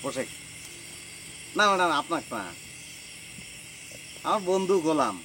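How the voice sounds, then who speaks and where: A man's voice: on the high side, lively intonation, measured pace, pushed and bright, a little hiss or hum. An actor playing a harried, touchy young village man in a comedy drama, arguing with rhetorical questions. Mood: exasperated, defensive. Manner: argumentative, full of rhetorical questions. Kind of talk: Bengali TV comedy drama acting.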